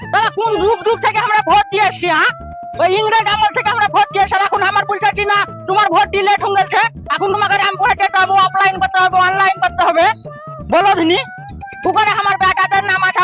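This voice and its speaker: Guy doing grumpy old village man, rural west bengal accent, super high n fast, comedy skit. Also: level tone. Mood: indignant. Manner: complaining, repetitive rhetorical questions. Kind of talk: comic social skit acting. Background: faint music.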